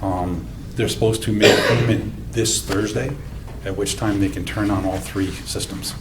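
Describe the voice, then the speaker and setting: A man, a town department head, answering board questions in a measured, hedging way with many fillers. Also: lowish voice, steady tone, very noisy. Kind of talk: answering questions at a public meeting. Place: town meeting room.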